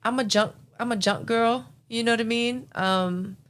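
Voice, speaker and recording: A young woman co-hosting a casual podcast, blunt and animated, swearing freely as she talks. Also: a little high, normal speed. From Cambodian-American family. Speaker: podcast co-host. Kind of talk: casual chat.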